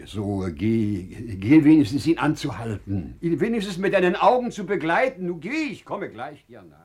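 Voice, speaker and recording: An actor playing a wise, kindly, wry merchant in a classical radio drama, with measured, deliberate theatrical phrasing. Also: male voice, a little high, expressive, a little mellow, breathy edge. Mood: teasing, eager.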